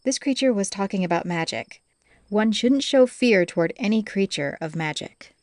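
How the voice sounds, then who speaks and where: A woman's voice: mid-range voice, lively intonation, normal speed, darkish tone, no room sound, studio quality. A woman reading scripted fantasy audiobook narration as the storyteller.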